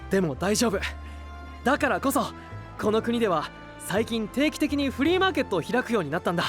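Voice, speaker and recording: A man's voice: high voice, sing-song, fairly quick, faint music behind. A man playing an earnest, trusting young knight hero in an anime-style voice drama, speaking with friendly sincerity. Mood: earnest, eager.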